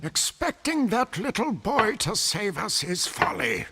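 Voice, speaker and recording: An actor playing a solemn, doubtful sceptic in a video game, measured and dismissive. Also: male voice, high-pitched, sing-song, gravelly, music in the background, heavily acted. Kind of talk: video game cutscene acting.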